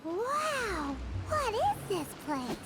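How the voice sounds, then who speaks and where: A woman voicing a tiny, childlike female companion creature in a video game, squeaky, timid and expressive. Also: extremely slow, noisy background, exaggerated voice. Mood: curious. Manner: expressive, refers to self by name. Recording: loud game music behind.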